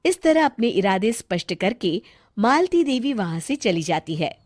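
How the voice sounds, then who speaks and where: A woman's voice: medium pitch, big pitch swings, normal speed, a little mellow, no room sound, studio quality. A woman narrator telling a scripted moral story in Hindi.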